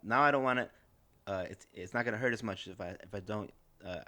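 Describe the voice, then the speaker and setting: A man's voice: fairly low pitch, brisk, bright, forceful tone. An American man co-hosting a podcast, bantering casually with dry, teasing jokes and a hesitant delivery. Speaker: podcast co-host.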